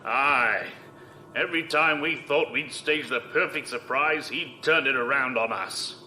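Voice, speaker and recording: An actor playing a grave fleet officer in a science fiction audio drama, with formal, deliberate delivery. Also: male voice, medium pitch, sharp tone, slight background noise. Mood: grave, regretful. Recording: echoey room.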